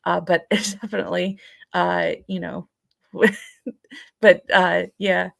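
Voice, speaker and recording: A woman with a fairly low voice and a strong vocal fry talks casually as a podcast co-host. She feels her way through each thought in a halting, hesitant manner. The sound is dry and close. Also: steady tone, a bit bright, no echo. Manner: hesitant, halting, thinking aloud. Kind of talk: casual discussion.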